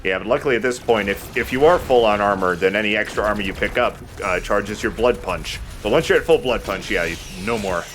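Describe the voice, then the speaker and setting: A man's voice: on the low side, fairly quick, hardly any pauses, a bit bright, slight background noise. A man giving casual gameplay commentary as a let's play host, with jokey, sweary banter. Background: video game sound.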